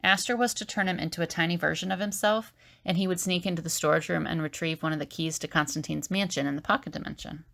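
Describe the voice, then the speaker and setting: A woman's voice: fairly low pitch, fairly even intonation, quick pace, creaky, a bit bright. A woman playing a resolute, earnest fugitive in an amateur audio drama.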